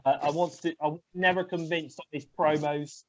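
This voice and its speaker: A man's voice: on the high side, fairly even intonation, normal speed. A British man with a cold, co-hosting a casual wrestling chat, rambling and restarting his sentences. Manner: rambling, hesitant, full of restarts. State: bunged up with a cold. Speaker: podcast co-host. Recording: dull lo-fi sound.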